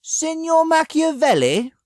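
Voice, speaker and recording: A woman's voice: high-pitched, big pitch swings, a little slow, darkish tone, no echo, clean studio sound. A woman playing a polite but insistent carriage driver in a dramatised audiobook. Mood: courteous, calling out.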